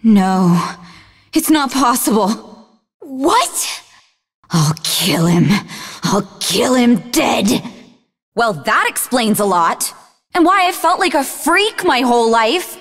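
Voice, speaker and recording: An actress voicing a sarcastic, sharp-tongued, bookish young half-demon woman in scripted video game dialogue, with a dry delivery. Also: a little high, animated, slow, pauses often, big-room sound. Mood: angry. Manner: dry, sardonic.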